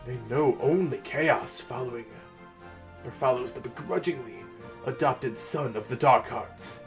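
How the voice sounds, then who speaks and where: A grandiose storyteller, acted out by a man, recounts a mock-epic fantasy legend. The delivery is theatrical and pompous, at a medium pitch, with soft music underneath. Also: measured pace, close to an everyday voice. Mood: suspenseful. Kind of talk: dramatic story reading.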